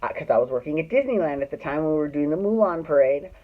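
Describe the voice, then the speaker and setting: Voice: mid-range voice, expressive, quick pace. A middle-aged person with a Californian accent telling personal stories casually, in a catty, dramatic and sweary way. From Glendale, California, United States. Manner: catty, dramatic, profane, acts out dialogue. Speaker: storyteller host of own show. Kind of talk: casual personal storytelling to camera. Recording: muffled phone-like narrow-band audio.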